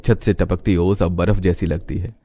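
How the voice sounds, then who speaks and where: A man's voice: low-pitched, level tone, normal speed, dark tone. A man narrating a scripted Hindi cartoon story.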